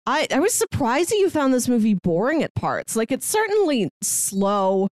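A woman's voice: a little high, sing-song, normal speed, darkish tone, dry recording, clean studio sound. A woman co-hosting a podcast in a casual, conversational film chat. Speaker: podcast co-host reviewing films.

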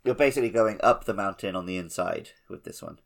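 A man's voice: on the low side, quick pace, vocal fry. A man co-hosting a podcast, talking casually about a video game. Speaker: podcast co-host.

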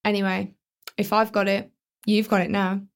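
A woman's voice: mid-range voice, level tone, normal speed, gravelly, clean tone, natural voice. A woman with a British accent playing a brash, easily distracted teenage girl in a naturalistic radio play, blunt and colloquial. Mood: casual, flippant.

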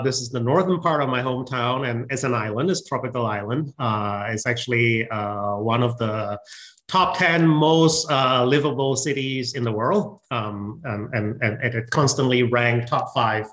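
A man with a Malaysian accent speaks at a middle pitch as a guest in a conversational interview. He explains and reminisces in a halting way, circling back to restart phrases as he goes. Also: a bit bright, low-quality recording. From Penang, Malaysia. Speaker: podcast guest, research microscopy center director.

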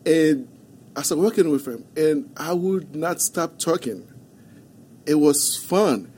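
A man from Haiti with a Haitian accent, a podcast guest telling his story in a soft, halting, earnest way. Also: on the high side, animated. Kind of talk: podcast interview. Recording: faint background noise.

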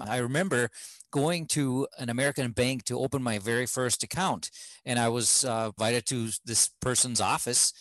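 A man's voice: mid-range voice, fairly bright tone, no echo, poor audio. Chatty male interviewer. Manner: anecdotal, chatty. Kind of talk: conversational interview.

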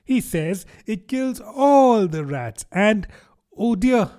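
A man's voice: high voice, animated, unhurried, a little mellow, voice pushed up. A man voicing a polite, curious young girl in a storybook, earnest and chatty. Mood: eager chatter. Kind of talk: storybook character voice.

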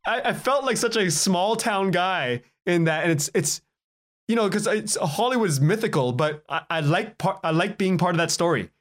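Chatty man, slightly high voice. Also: animated, normal speed. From Canada. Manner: chatty, acts out quoted dialogue, chuckles while talking. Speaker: podcast guest, writer and podcaster. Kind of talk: animated casual storytelling in an interview.

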